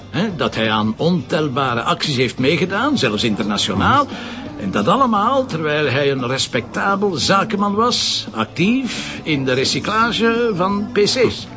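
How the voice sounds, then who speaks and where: Dull-sounding, animated Flemish police commissioner. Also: male voice, a little high. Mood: probing. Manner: probing, dryly sarcastic. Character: shrewd, sardonic male police commissioner questioning a witness. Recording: slightly dull lo-fi audio, music behind.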